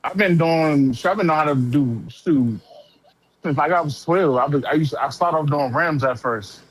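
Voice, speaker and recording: A man's voice: fairly high pitch, moderate pace, husky. A talkative man chatting casually on the phone in slangy speech, often repeating himself as he goes. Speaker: prospective renter, self-taught auto body painter. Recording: noisy phone call.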